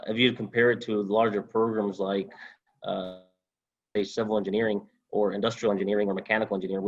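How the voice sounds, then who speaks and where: A young man from Honduras, a former student, answering interview questions over a video call in a halting way with many fillers. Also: lowish voice, husky, muffled recording. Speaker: alumnus guest.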